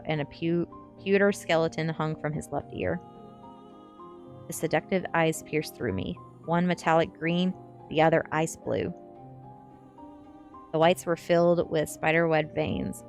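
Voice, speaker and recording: A woman with a low voice reads a novel aloud in an ASMR style. It is first-person story narration, set over faint background music. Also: fairly even intonation, a little slow. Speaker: streamer reading a novel aloud.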